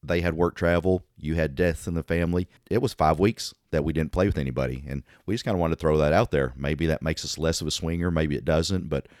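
A man co-hosting a podcast in casual chat, his voice hoarse and raspy today. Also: very deep voice, quick pace, hardly any pauses, a little mellow, dry recording, clean studio sound. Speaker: podcast co-host.

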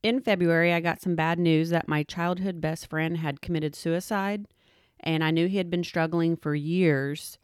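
A woman with a fairly low voice, chatting earnestly as a podcast co-host. Her speech is conversational and unhurried. The sound is dry and close. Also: steady tone, smooth, no echo. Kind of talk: casual chat.

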